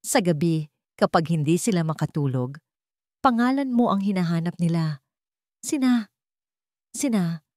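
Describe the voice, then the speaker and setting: A woman's voice: fairly low pitch, animated, unhurried, pauses often, a little mellow, no room sound, clean studio sound. A woman voicing a remorseful wealthy man in a romance story, pleading and confessing dramatically. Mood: tender confession.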